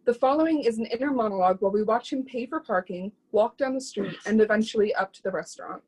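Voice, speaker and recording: A woman's voice: a little high, fast talker, a little mellow, husky, rough recording. A woman reading stage directions as scripted narration for a readers theatre drama.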